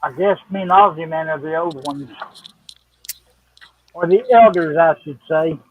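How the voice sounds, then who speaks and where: A man chatting casually on a live stream and reading viewers' comments, over muffled, low-fidelity audio. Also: a little slow. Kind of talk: casual chat.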